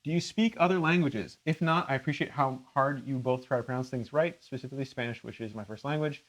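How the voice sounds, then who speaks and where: A man's voice: mid-range voice, fast talker. An American man hosting a cooking channel, answering questions in casual chat with an earnest, self-deprecating way of talking. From rural Minnesota, United States.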